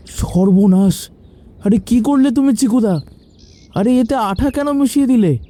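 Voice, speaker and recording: Worried cartoon sidekick played by a man, high-pitched, muffled. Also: animated, unhurried, warm tone. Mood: alarmed. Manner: worried, pleading. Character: anxious, cautious young helper of a swindler. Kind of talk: cartoon story voice acting.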